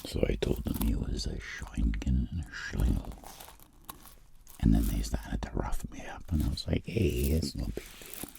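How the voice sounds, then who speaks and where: A man voicing a boastful, roguish tingle-dealing world traveler in a whispered ASMR roleplay, telling rambling deadpan tales in a breathy, gravelly voice. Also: very deep voice, normal speed, exaggerated voice. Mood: boastful, playful.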